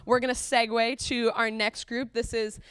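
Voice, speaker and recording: Clear-voiced young woman host, chatty. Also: fairly high pitch, flat delivery, moderate pace, fairly bright tone, no echo. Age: young adult. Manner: chatty, enthusiastic. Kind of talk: casual live commentary between radio plays.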